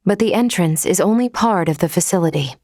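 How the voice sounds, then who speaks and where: A woman playing a logical, composed female android officer in a video game, speaking formally and precisely in a measured, unemotional way. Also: lowish voice, a little mellow, no echo, clean studio sound, natural voice. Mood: calm, explanatory.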